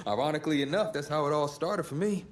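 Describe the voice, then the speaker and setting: An actor playing a modest, easygoing football player in a game cutscene, speaking in a friendly, understated way. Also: male voice, a little high, brisk, slight background noise, lightly acted. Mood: friendly, nostalgic. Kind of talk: naturalistic game cutscene acting.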